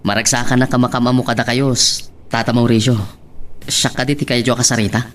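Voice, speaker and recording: An actor playing a polite, responsible brother in a radio drama, speaking deferentially. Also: male voice, medium pitch, flat delivery, fast talker, a bit bright, lightly acted. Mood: apologetic, troubled. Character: polite, responsible adult brother of a troublesome sibling. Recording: faint background ambience noise.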